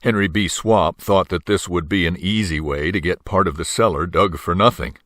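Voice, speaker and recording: A man's voice: deep voice, moderate pace, a bit bright, no room sound, studio quality. An older man reading a children's story aloud as a narrator. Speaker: storybook reader.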